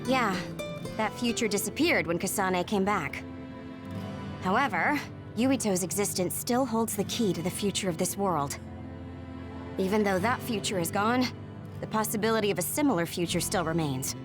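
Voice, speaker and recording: A woman's voice: mid-range voice, moderate pace, music in the background, natural voice. A woman playing a brainy, laid-back young woman in an English game dub, explaining things in an analytical, matter-of-fact way. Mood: serious.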